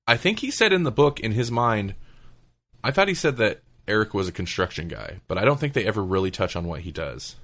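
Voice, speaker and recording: A man's voice: on the low side, very animated, fairly quick, fairly bright tone. A man chatting casually as a podcast host, thinking aloud and often qualifying what he says.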